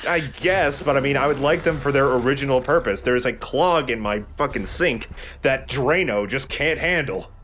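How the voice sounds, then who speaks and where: A man's voice: mid-range voice, moderate pace, fairly bright tone, a little hiss or hum. A man hosting a let's play with chatty, casually sweary gaming commentary.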